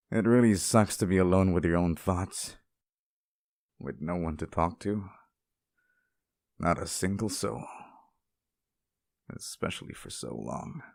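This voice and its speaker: Casting: male actor as sweet, possessive sealed demon, ASMR roleplay. Low gravelly voice, dry close sound, soft pleading delivery. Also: no room sound, full character voice. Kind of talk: ASMR roleplay, intimate scripted monologue to the listener.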